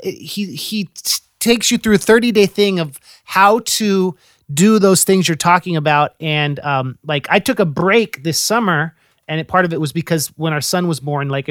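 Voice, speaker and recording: Upbeat high-voiced podcast host. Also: male voice, fairly bright tone, no echo, studio quality. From San Francisco Bay Area. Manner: enthusiastic, slangy, repeats words. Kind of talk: casual interview chat.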